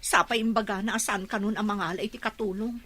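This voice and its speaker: An actress playing a regretful, fretful wife in a radio drama, plaintive, with a husky voice. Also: female voice, mid-range voice, fairly even intonation, fast, fairly bright tone, close to an everyday voice. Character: regretful, fretful young wife complaining to her mother.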